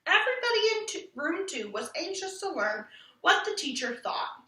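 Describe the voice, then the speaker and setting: A woman reading a children's book aloud in an expressive storytelling style. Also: very high-pitched, moderate pace. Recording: roomy echo, distant from mic.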